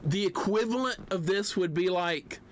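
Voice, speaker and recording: A man's voice: sits high, normal speed. A man giving casual, grumbling and sarcastic commentary while streaming a video game. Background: game music.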